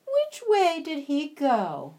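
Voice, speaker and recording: A woman voicing a sly male fox who questions slowly and suspiciously. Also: high voice, huge pitch swings, warm tone, pitched above the performer's own voice, full character voice. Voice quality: slow, probing.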